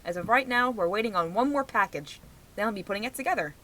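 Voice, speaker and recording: A woman's voice: mid-range voice, animated, fast, sharp tone, low-quality recording. A young adult woman giving rambling personal commentary in a vlog, speaking in an emphatic, outspoken way. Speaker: vlogger.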